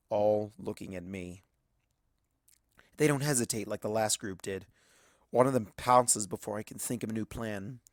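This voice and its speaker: A man's voice: on the low side, creaky, no room sound, studio quality. A man reading a story aloud as a dramatic first-person narration.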